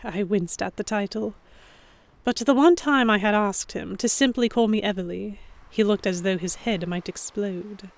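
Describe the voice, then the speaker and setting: Woman as young noblewoman, first-person audio drama narration, anxious, introspective, tentative, medium pitch. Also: moderate pace, gravelly, natural voice. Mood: wary, reflective. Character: anxious young noblewoman heroine narrating her captivity among pirates.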